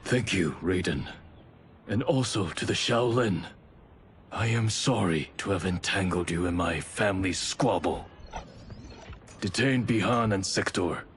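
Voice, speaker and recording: A man's voice: deep voice, unhurried, a little hiss or hum. A man playing a stern, aggrieved ninja clan leader in a game cutscene, speaking formally and solemnly. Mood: stern, grave. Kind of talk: dramatic video game cutscene acting. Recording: cinematic score under the voice.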